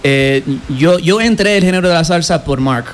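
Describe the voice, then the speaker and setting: A man who is a salsa singer, chatting casually as a guest, relaxed, laughing often and repeating words while he thinks. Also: a little high, normal speed, a crowd in the background. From United States. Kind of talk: casual interview chat.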